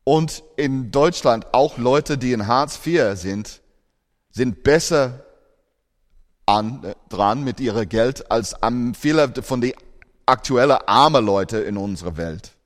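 Australian-born preacher in a reverberant hall. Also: male voice, medium pitch, slow pace. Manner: earnest, speaks German with non-native grammar slips. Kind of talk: sermon.

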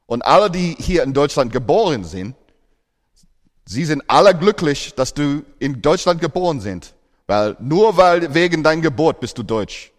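A man's voice: medium pitch, long reverb tail. A man born in Australia preaching a sermon in German, earnest, with grammar slips.

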